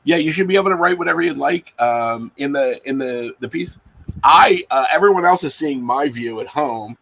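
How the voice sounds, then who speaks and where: A man acting as game master, improvising narration for a tabletop game in a halting way with many pauses. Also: on the high side, vocal fry, fairly bright tone, rough recording.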